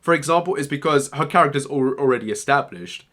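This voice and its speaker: Young male commentator with a bright, tense voice and a rambling, emphatic delivery. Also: medium pitch, lively intonation, fast. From Germany-born Iranian, raised in England. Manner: rambling, repeats words, emphatic. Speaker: gaming commentator. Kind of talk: opinionated casual commentary.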